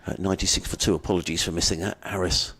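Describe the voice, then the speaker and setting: A middle-aged man with a very deep voice commentates on cricket, friendly and meandering, drifting from the play into chatty asides. His delivery is relaxed and conversational. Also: very fast, slight background noise. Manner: rambling, friendly, lots of fillers and restarts.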